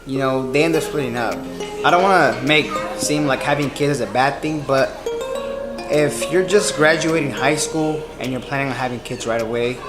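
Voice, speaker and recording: A man's voice: medium pitch, normal speed, loud music behind the voice. A man in his twenties, a barber and vlogger, chatting casually and rambling with lots of fillers and restarts. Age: 20s. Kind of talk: casual chat.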